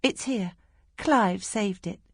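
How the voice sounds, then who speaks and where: Woman narrator as anxious mother, raised pitch, breathless, urgent, emotional, audiobook drama. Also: takes it slowly, husky, no echo, clean studio sound. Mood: reassuring.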